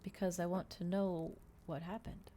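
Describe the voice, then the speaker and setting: A woman's voice: on the low side, level tone, normal speed, mellow, a little hiss or hum, lightly acted. A woman voicing a nervous, earnest young male interviewer, hesitant in manner, for an audiobook.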